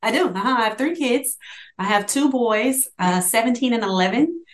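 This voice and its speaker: A woman's voice: a little high, lively intonation. Middle-aged woman in an echoey room. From Hawaii. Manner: brisk, affirming backchannels. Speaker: guest, managing broker. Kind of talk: casual interview.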